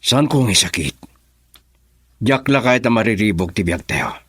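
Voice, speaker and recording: A weary, exasperated father in a radio melodrama. His middle-aged voice is husky, with lively swings in intonation. It sounds dry. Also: lowish voice, normal speed, dry recording. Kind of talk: melodramatic radio drama acting.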